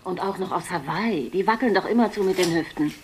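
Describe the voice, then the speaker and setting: A woman's voice: fairly low pitch, fairly quick, a little hiss or hum, natural voice. A woman playing a detective's affectionate, jealous girlfriend in radio play dialogue. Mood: affectionate, needling.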